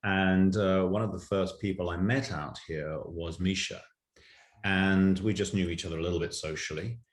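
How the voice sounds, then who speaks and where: A man's voice: sits low, fairly even intonation, normal speed. A man recounting events casually as an interview guest, in a hesitant, stop-and-start way. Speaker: podcast guest, writer and producer. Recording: roomy, echoing sound.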